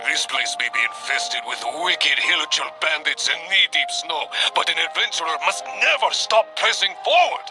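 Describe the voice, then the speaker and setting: Jovial, rambling veteran adventurer for a game; raised pitch, very animated, grandiose. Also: male voice, normal speed, few pauses, low-quality recording. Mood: rousing. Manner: theatrical, long-winded, jovial. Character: hearty middle-aged male adventurer guild leader, grandiose rambler. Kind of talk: video game cutscene dialogue. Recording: music behind the voice.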